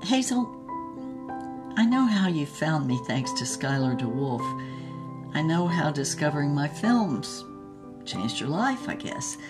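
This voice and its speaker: A woman's voice: sits low, big pitch swings, a little slow, pauses often, music in the background. An actress playing a defiant, tender older woman artist, telling stories in an emphatic, theatrical way for an audio drama. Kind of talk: audio drama acting, storytelling.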